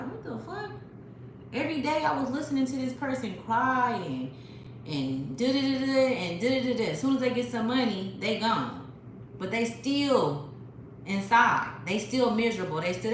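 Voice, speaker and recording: A woman hosting a spiritual livestream, talking straight to her viewers in a profane, preachy way on a phone. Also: fairly high pitch. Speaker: livestream host. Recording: very echoey room with noise.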